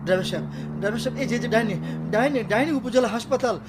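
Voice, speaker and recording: High-pitched, distraught devoted son. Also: male voice, fast talker, heavy background noise. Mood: urgent pleading. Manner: pleading, repeating words urgently. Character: distraught devoted adult son, emotional village-born family man. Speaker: radio drama actor. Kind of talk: Bengali radio drama acting.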